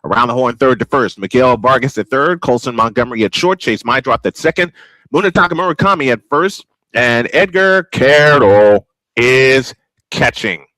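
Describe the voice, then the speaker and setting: A man's voice: mid-range voice, expressive, moderate pace, a bit bright, dry recording, low-quality recording. Man calling baseball play-by-play, close dry sound. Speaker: play-by-play announcer and host. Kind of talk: old-school baseball play-by-play commentary.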